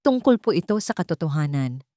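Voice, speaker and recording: A woman's voice: low voice, very animated, brisk, dark tone, no echo. Elderly female servant for audio story, played by a woman narrator: deferential, insistent pleading, dry close recording. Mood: urgent pleading.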